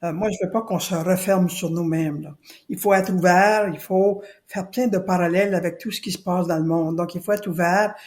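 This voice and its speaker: A woman's voice: on the low side, steady tone, normal speed, a little mellow. Lady guest, earnest and emphatic, keeps hammering her point. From Acadia, New Brunswick. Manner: earnest, emphatic, repeats key phrases. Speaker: guest, citizen committee spokesperson. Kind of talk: podcast interview.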